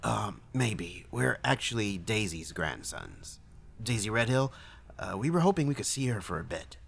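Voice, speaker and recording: A man's voice: fairly low pitch, wildly swooping pitch, measured pace, vocal fry, bright, forceful tone, husky, heavily acted. A man voicing an anxious, squeamish young man with nervous, hesitant speech in a narrated story. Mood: polite, nervous. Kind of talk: acted dialogue in a narrated story.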